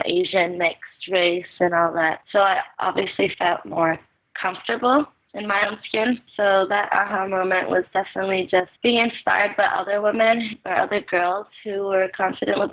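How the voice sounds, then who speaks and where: Soft-spoken woman from the Philippines over a phone line. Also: medium pitch. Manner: soft-spoken, hesitant with many fillers and restarts. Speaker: podcast guest. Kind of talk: casual interview answers. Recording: tinny phone-line sound.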